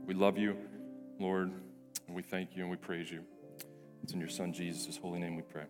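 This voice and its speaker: A male pastor with a hoarse, deep, raspy voice, praying and then preaching earnestly, often restarting and repeating words. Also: level tone, moderate pace. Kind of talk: prayer and sermon. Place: church sanctuary. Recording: reverberant church hall with soft music.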